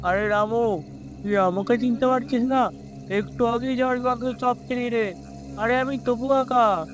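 A man's voice: extremely high pitch, steady tone, measured pace. A man voicing a kindly, aging village shopkeeper in a cartoon story, familiar and avuncular. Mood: casual, coaxing. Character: kindly aging village snack-shop uncle.

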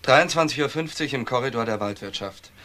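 A man's voice: medium pitch, expressive, a little fast, bright, forceful tone. A man playing a correct, factual police detective in a German crime radio drama. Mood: official. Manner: formal, factual.

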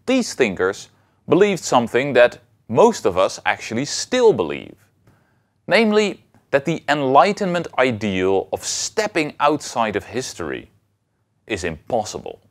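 A man in his thirties giving a scripted lecture as a university teacher, with deliberate, emphatic phrasing. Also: mid-range voice, huge pitch swings. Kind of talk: scripted educational lecture to camera.

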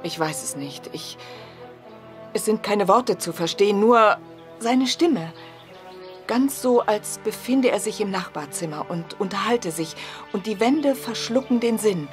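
A stern mother aching with grief played by an actress speaks in an intense, dramatic radio-play manner. Her pitch moves widely and expressively. Music is clearly heard beneath her. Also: lively intonation, measured pace, natural voice. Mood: anguished longing. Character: Glenda, grieving, stern mother longing for her vanished son. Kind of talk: period mystery radio play acting.

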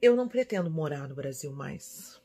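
A woman in her forties from São Paulo with a Brazilian accent, chatting casually and affectionately as she answers viewers' questions in an expressive, conversational way. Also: sits low, moderate pace, slightly rough. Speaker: vlogger. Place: small indoor room at home.

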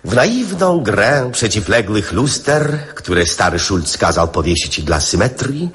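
Gloomy philosophical poet, radio drama. Very low, theatrical, unhurried. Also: male voice, sing-song, a bit bright. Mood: solemn, rapt. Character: gloomy, eccentric long-haired poet musing to a boy.

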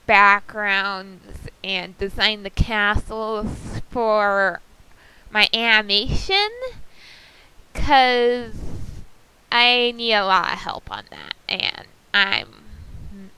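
A woman giving a casual video update as an amateur animator, halting and pausing in mid-sentence. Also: medium pitch, pushed and bright, clean tone, low-quality recording. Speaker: amateur animator presenting a voice audition call.

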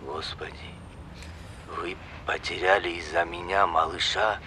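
An actor playing a good-natured, talkative grandfather in an audio drama phone dialogue, gentle and sometimes hesitant. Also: low voice, steady tone, a little slow, a little hiss or hum. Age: middle-aged or older.

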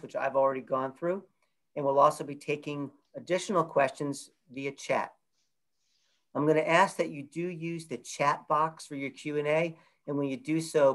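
A man in his fifties hosts a webinar from a room at home over a video call. His voice sits fairly high for a man, and he talks loosely, filling gaps with many ums and uhs. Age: 50s. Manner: loose, filler-heavy delivery. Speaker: event moderator. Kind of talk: webinar introduction.

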